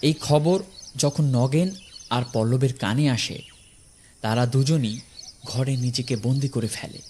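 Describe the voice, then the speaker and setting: A man's voice: medium pitch, measured pace, a little mellow, slight background noise. A man narrating a horror story in a dramatic, scripted storytelling style.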